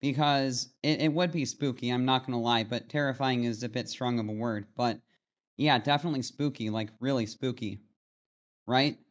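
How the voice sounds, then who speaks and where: Clear, smooth-voiced American man. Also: medium pitch, fairly even intonation, normal speed. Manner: informal, addressing viewers directly. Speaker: host of a commentary channel. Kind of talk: casual spoken commentary.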